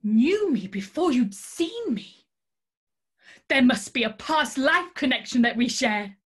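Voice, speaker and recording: A woman's voice: high-pitched, big pitch swings, takes it slowly, husky, exaggerated voice. A woman acting an eccentric, grandiose tarot reader in a comic stage scene, with pompous mystical pronouncements. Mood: theatrical, airy.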